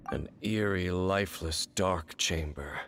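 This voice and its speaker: A man's voice: low voice, slow pace, a bit bright, soft background music, heavily acted. An actor playing a grave, stern male warrior in a video game, speaking in a solemn, formal manner. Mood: grave, wary. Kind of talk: video game character acting.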